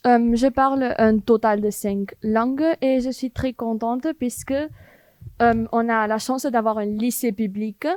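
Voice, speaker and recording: A teenage girl explains with some hesitation and fillers. Her voice sits slightly high. The recording is dry and close. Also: fairly even intonation, measured pace, a little mellow, no room sound.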